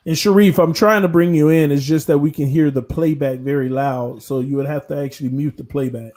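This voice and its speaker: A man's voice: on the high side, fairly even intonation, fairly quick, darkish tone. A man hosting a casual sports fan show, upbeat and hyped, bringing a guest in. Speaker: show host.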